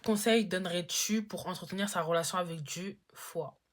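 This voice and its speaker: A woman's voice: lowish voice, moderate pace, a little hiss or hum. A teenage girl in a casual Q&A vlog, chatty and slangy, full of fillers. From Congo-Brazzaville. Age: teens. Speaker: YouTuber.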